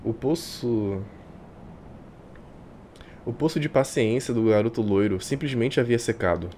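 A man with a Brazilian accent reading a visual novel aloud like a streamer, expressive and colloquial. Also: medium pitch, a little slow, slight background noise. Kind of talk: dramatic live reading with casual asides. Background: game music.

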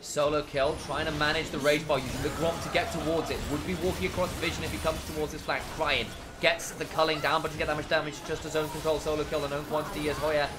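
Energetic male esports co-caster. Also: a little high, fast talker, hardly any pauses, noisy background. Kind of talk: play-by-play commentary.

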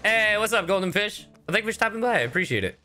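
A man streams a game in a bright, forward voice with very lively pitch swings. He talks casually and animatedly to his audience, swearing freely, with game music playing behind him. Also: quick pace. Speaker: streamer and voice actor. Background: video game music.

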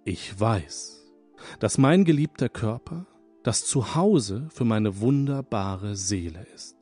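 A man leading a guided hypnosis session, speaking in a calm, soothing, repetitive manner. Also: on the low side, husky. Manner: slow, soothing, hypnotic, repeats phrases. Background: soft background music.

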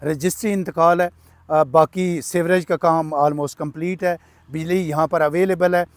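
A middle-aged man with a Pakistani Urdu accent, giving a fluent, persuasive real-estate sales pitch to camera outdoors on a lapel mic. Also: moderate pace, no echo. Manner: fluent, persuasive, steady listing of prices with frequent "uh" fillers. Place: outdoors at an open housing plot, sunny.

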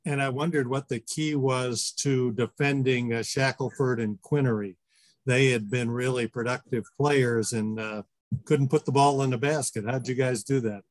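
A man's voice: mid-range voice, fairly even intonation, moderate pace, no room sound. An older male reporter asking polite, hesitant questions on a video call. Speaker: sports reporter. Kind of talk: press conference question.

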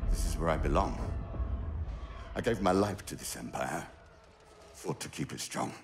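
An actor playing a proud, resigned older admiral and father in a video game, solemn, measured and formal. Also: very deep voice, expressive, vocal fry, noisy background, full character voice. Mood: solemn resignation. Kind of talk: dramatic video game acting. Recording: echoey, loud orchestral score behind.

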